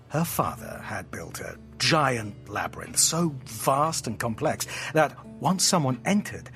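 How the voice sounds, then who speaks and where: Theatrical storyteller friend, big lively swings, hammy and teasing, audio drama w music under. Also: mid-range voice. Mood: theatrical, melodramatic. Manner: grandiose, teasing, melodramatic narration. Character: playful, theatrical storyteller friend spinning a myth at home.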